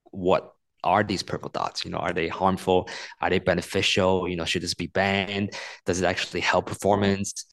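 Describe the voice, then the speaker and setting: A man in his thirties from Hong Kong speaks as an author being interviewed. His voice sits on the low side, and he explains things earnestly and patiently, often doubling words as he works out a thought. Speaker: author and guest.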